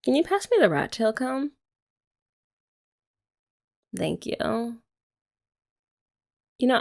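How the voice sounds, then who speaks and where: A young woman in an ASMR girlfriend roleplay, talking softly and teasingly to the listener. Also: lowish voice, vocal fry. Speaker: ASMR creator.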